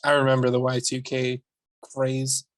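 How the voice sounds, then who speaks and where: A man's voice: mid-range voice, monotone, unhurried, no echo. A man in his twenties, a podcast guest, chatting casually with dry, self-mocking humor. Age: 20s. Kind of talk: casual chat.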